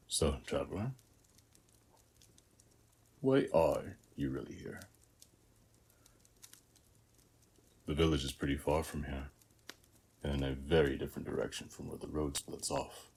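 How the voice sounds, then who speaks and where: An actor playing a calm, hospitable warrior who guards a seized temple, speaking in an unhurried, measured way in an audio roleplay. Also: male voice, a bass voice, slight background noise. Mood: curious, probing. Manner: unhurried, measured, with long pauses between short phrases. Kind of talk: scripted audio roleplay, speaking softly to the listener.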